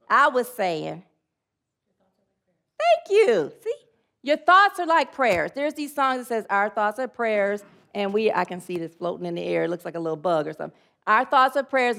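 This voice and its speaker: A woman's voice: mid-range voice, gravelly, fairly bright tone. A woman preaching a sermon, rambling a little and leading call-and-response affirmations.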